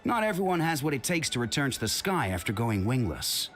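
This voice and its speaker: A modest young balloon keeper speaks softly and hesitantly, voiced by a man. His pitch swings widely. Game music plays clearly in the background. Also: sing-song, brisk, close to an everyday voice. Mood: wistful. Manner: soft-spoken, hesitant. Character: gentle young male balloon operator, modest and resigned. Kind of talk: video game voice acting.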